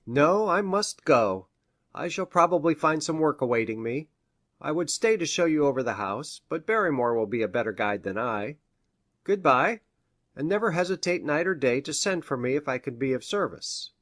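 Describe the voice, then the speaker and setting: A man's voice: a little high, big pitch swings, normal speed, fairly bright tone. Courteous country doctor played by a man. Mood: polite, firm. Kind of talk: audiobook character acting.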